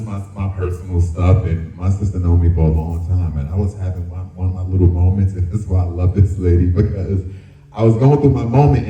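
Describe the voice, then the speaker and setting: A man with a deep voice telling candid personal stories as a dancer on a discussion panel, colloquially and with quoted asides. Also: dark tone, distant mic. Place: town hall event room. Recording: muffled, echoey room, off mic.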